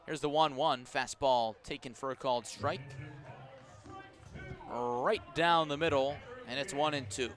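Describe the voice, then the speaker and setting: Male American baseball play-by-play announcer, mid-pitched voice, descriptive live radio delivery in clipped phrases. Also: fairly bright tone. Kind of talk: live radio sports commentary. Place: outdoor baseball stadium at night.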